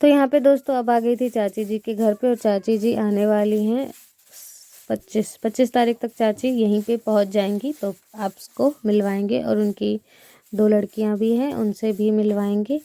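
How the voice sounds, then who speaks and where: A woman's voice: medium pitch, fairly even intonation, moderate pace, warm tone, no room sound. A young woman from India with a sore throat, chatting casually to her viewers as she sweeps and plasters her village house with mud. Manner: chatty, rambling, addresses viewers as friends. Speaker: village vlogger. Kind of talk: casual chat to viewers while doing chores.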